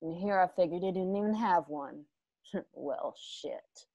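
Woman acting a slow, menacing Western avenger. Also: on the low side, warm tone, dry recording. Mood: sadistic, mocking. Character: vengeful, scarred, sadistic Western gunslinger woman with a claw. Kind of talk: Western radio play acting. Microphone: home video call.

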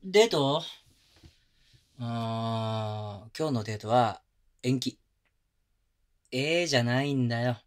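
A man playing a gentle, doting young boyfriend in an intimate ASMR audio drama, speaking casually with tender, coaxing chiding. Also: mid-range voice, slow pace, pauses often, natural voice. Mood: gently firm. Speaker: voice actor. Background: music.

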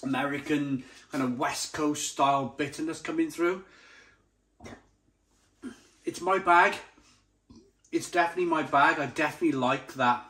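A man's voice: a little high, normal speed, long pauses, pushed and bright. A man hosting a review channel, talking casually and unscripted to camera, savouring and repeating his descriptive words with enthusiasm. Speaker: beer review channel host.

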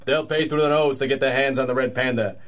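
An actor playing a gruff, surly small-time crook in a 1930s-style radio drama, talking tough gangster slang. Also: male voice, mid-range voice, fairly even intonation, fast, close to an everyday voice. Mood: bossy, impatient.

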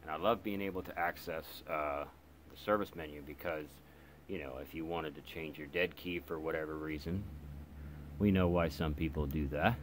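A man's voice: rumbling low, fairly even intonation, normal speed. A man giving a casual, informal product demonstration as a hobbyist reviewer, speaking somewhat hesitantly. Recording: noisy home video recording. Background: clear background noise.

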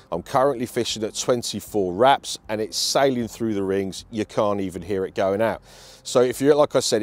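Low-pitched British man presenting angling. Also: a little mellow, no room sound. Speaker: angler presenting a fishing feature. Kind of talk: instructional talk to camera. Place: outdoors on a lake bank.

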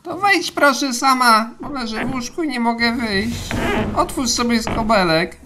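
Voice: medium pitch, normal speed, noisy background, natural voice. An actor voicing a sly, hungry wolf who pretends to be a feeble grandmother in a fairy-tale audio drama. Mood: sly, coaxing.

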